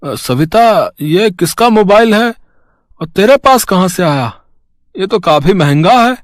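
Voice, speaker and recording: A man's voice: sits high, expressive, measured pace, no echo, studio quality. A man playing a worried, suspicious working-class husband in a cartoon story, earnest and questioning. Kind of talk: Hindi cartoon story dialogue.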